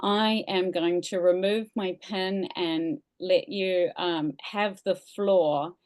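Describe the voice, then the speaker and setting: A woman's voice: lowish voice, steady tone, slow, darkish tone. A woman with a New Zealand accent introducing a guest speaker at a museum talk over a video call, polite and formal, with frequent ums and uhs.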